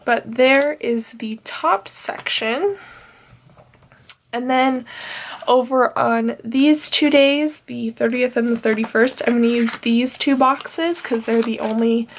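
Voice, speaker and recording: A woman's voice: on the high side, slow pace, slight background noise. A young woman with a nasal, stuffed-up voice, chatting casually and rambling with fillers while she plans. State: nasal from allergies. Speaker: planner vlogger. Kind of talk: casual plan-with-me chat.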